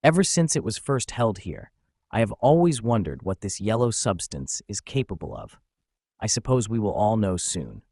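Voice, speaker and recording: A man's voice: lowish voice, sing-song, moderate pace, gravelly, darkish tone, breathy edge, no room sound, clean studio sound, heavily acted. A man playing a dutiful army captain giving an earnest mission report in an audio drama. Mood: dutiful, wary. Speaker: military officer.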